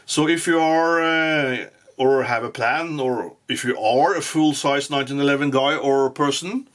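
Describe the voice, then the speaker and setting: A man whose first language is Norwegian, giving a casual firearm review in English with frequent pauses and restarts. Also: mid-range voice, a bit bright. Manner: halting, many fillers and restarts. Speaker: gun reviewer.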